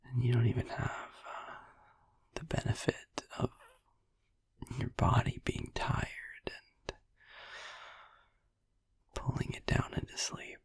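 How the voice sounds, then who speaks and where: Man acting as drowsy vampire boyfriend, breathy husky whisper, gentle and reassuring, halting rambles, close dry sleep-aid roleplay. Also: mid-range voice, flat delivery, no room sound, exaggerated voice. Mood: drowsy, rambling.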